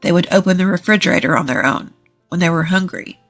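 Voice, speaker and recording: Story narrator, scripted first-person reading, mid-range pitch, light background music. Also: quick pace, fairly bright tone. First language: English.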